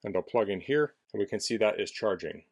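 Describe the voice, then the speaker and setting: A man's voice: on the low side, lively intonation, fairly quick, a little mellow. A man casually demonstrating a product indoors in a basement, explaining things in a plainspoken way as a reviewer.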